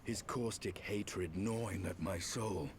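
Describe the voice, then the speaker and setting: A man's voice: low-pitched, slow pace, a little hiss or hum. A man playing a grave, brooding dragon-hunting knight in a fantasy video game, with solemn, formal, archaic speech.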